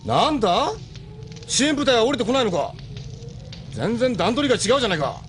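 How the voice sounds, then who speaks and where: A man playing a gruff, steadfast ship captain and mentor in a Japanese audio drama, speaking bluntly and urgently. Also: very high-pitched, sing-song, brisk, a bit bright, slight background noise, full character voice. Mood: gruff, irritated.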